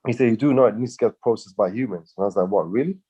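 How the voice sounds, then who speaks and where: A man with a fairly low, mellow voice talks through his thoughts in a loose, rambling way. He stops and restarts often and leans on fillers as he goes. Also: very fast, breathy edge, muffled recording. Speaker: co-host. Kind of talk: casual business chat.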